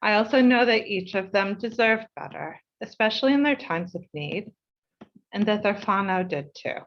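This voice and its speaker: A woman's voice: mid-range voice, animated, muffled recording. Heartfelt New woman reading a speech. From United States. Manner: measured and heartfelt, slowing for emphasis. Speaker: board chair. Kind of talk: reading a prepared speech at an online meeting.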